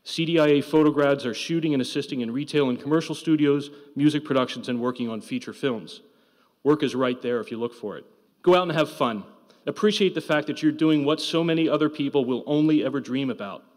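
A man's voice: mid-range voice, brisk, darkish tone. An older man delivering a prepared graduation address as a department head. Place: large indoor auditorium. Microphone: podium microphone. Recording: reverberant hall, podium microphone.

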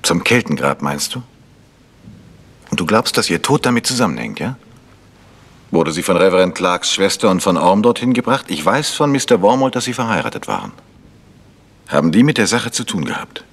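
An actor playing a calm, polite gentleman investigator in a German radio crime drama, asking insistent questions. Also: deep voice, moderate pace, pauses often, husky, heavily acted. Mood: earnest, insistent.

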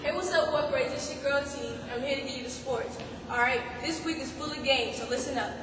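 Brief: teen girl, high voice, chatty sports rundown in a very echoey gym, off-mic. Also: a little fast. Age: teens. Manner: upbeat and chatty. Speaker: student sports reporter. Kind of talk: sports announcements. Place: school gym. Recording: echoey gym from far off with noise.

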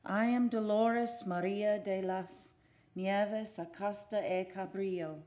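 Casting: actress as lovelorn female ghost, captain's daughter from old Spain. Mid-pitched, solemn, declamatory radio-play style. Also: fairly even intonation, takes it slowly, mellow, natural voice. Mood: mournful. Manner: solemn, archaic, declamatory phrasing. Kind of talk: old-time radio drama acting.

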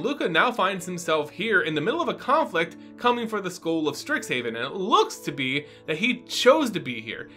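A man's voice: on the high side, pushed and bright. A man, narrating a story recap to camera in an emphatic storyteller's delivery. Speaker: YouTube host. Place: small home room, indoors. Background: background music.

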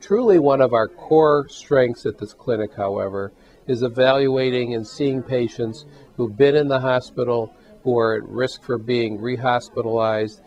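Measured older male doctor, dull sound. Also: medium pitch, a little mellow. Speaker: heart failure physician. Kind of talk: interview testimonial. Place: hospital exam room.

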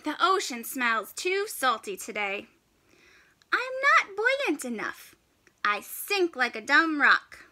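A woman's voice: sits high, huge pitch swings, takes it slowly, bright, forceful tone, exaggerated voice. A woman voicing a grumpy young male penguin in a children's storybook, complaining in an exasperated, melodramatic way. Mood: grumbling.

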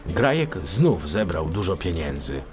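A man with a fairly low voice reads a children's audiobook. His delivery is dramatic and extremely varied in pitch, with faint music behind him. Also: unhurried. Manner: expressive, dramatic. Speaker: audiobook narrator. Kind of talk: scripted children's storytelling.

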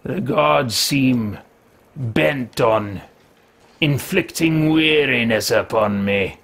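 A man's voice: mid-range voice, very slow, vocal fry, a bit bright, heavily acted. A man in his thirties voicing an arrogant, bitter, power-hungry wizard in a video game roleplay, speaking in a pompous, theatrical, archaic manner. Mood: haughty, weary. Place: small home room, indoors.

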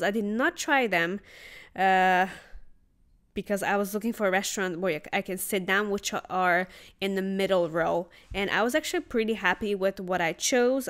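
A woman travel vlogger chatting casually about market food as she tastes it. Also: medium pitch. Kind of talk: casual travel vlog narration. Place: outdoor night market.

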